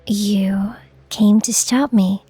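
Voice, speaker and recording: A woman voicing a determined young female director, breathy and halting, in a game fan dub. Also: mid-range voice, fairly even intonation, warm tone, no room sound, soft background music, exaggerated voice. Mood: resolute. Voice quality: breathy, husky.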